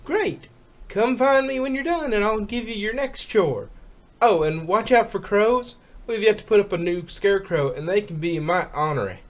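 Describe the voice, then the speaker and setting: A man's voice: high voice, moderate pace, natural voice. A man voicing a brash, bossy female farm pony from a story, with a folksy drawl. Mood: casual instructing.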